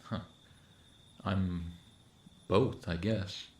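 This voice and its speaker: A man's voice: deep voice, steady tone, very slow, roomy sound. A young man acting in an audio roleplay as an awkward, sardonic friend who is secretly a monster, talking casually and hesitantly to the listener. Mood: awkward, defensive.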